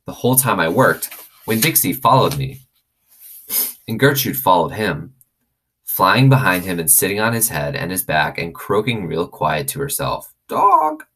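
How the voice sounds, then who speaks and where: A man with a very low, husky voice reads a children's story aloud. His intonation is animated and expressive, in the way of a storyteller. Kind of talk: expressive reading of a children's novel.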